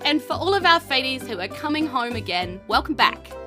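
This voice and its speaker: A woman's voice: high voice, normal speed. A woman with a New Zealand accent hosting a tabletop game podcast, chatty and playful as she narrates. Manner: playful, animated, giggly. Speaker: game master and podcast host. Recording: music behind the voice.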